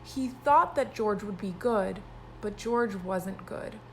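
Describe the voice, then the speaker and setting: A woman's voice: medium pitch, slow pace, a bit bright, slight background noise. A woman teaching pre-kindergarten children, reading a story aloud expressively and explaining it in simple words.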